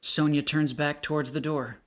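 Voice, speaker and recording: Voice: mid-range voice, steady tone, moderate pace. A narrator of unclear sex reading scripted stage directions.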